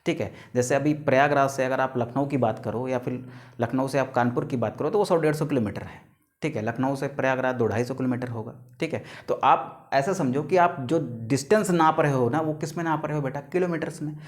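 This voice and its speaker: A man in his thirties teaching biology, who calls his students "beta", asks them questions and answers them himself, and stretches terms out word by word. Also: medium pitch. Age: 30s. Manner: stretches key English terms out slowly, word by word. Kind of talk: classroom lecture to students.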